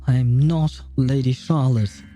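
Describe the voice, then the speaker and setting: A man reading as an earnest, mellow young noblewoman athlete. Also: medium pitch, steady tone, takes it slowly, soft background music, natural voice. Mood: bitter resolve. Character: proud, wounded young noblewoman athlete, desperate to win.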